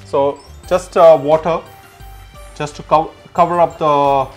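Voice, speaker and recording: A man's voice: a little high, steady tone, takes it slowly, soft background music. A man in his thirties, a chef, giving a cooking demonstration in an indoor kitchen.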